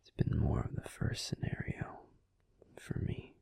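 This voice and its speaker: Casting: male actor for a tender, grumpy young werewolf boyfriend in a bedtime audio roleplay, whispered, soothing, very slow delivery. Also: lightly acted. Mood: soothing, drowsy. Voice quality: breathy whisper. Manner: gentle, soothing, unhurried reassurance with pauses. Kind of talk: whispered ASMR sleep-aid roleplay. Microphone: close, dry microphone.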